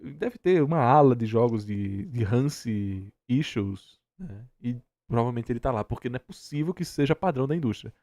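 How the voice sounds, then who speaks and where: A man's voice: medium pitch, lively intonation, normal speed, hardly any pauses, darkish tone, dry recording. Emphatic Brazilian man, dry close sound. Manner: emphatic, repeats himself for stress. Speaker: reaction streamer. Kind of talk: casual opinionated reaction chat.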